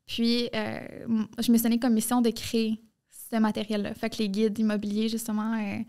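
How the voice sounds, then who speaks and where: A woman's voice: fairly high pitch, completely flat delivery, fairly quick, darkish tone, smooth. A young woman with a Québécois French accent, chatting as a podcast guest in run-on bursts full of "tu sais". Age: young adult. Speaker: podcast guest, marketing entrepreneur. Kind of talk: casual interview chat.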